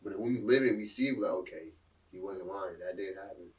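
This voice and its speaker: A young man with a fairly low voice talks fast in a relaxed, teasing way during a casual chat. He sounds a little distant from the mic.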